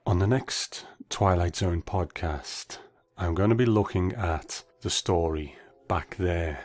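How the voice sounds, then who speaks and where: A man hosting a solo podcast with measured, reflective, conversational commentary. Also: deep voice. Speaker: podcast host. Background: faint music.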